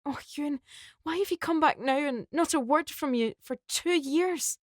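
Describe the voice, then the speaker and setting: Lady doing a shaky upset young woman, high and pleading, dry close sound. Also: a little slow, no room sound, close to an everyday voice. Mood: distraught. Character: young woman, distressed, torn between two men.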